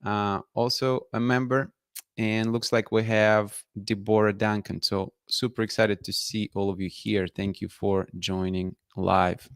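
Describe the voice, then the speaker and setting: Male host of a live online show, smooth clear voice, dry close sound, upbeat with viewers. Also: fairly low pitch, flat delivery, a little slow, dry recording. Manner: upbeat, greets viewers by name. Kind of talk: live stream hosting and interviewing. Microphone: video call.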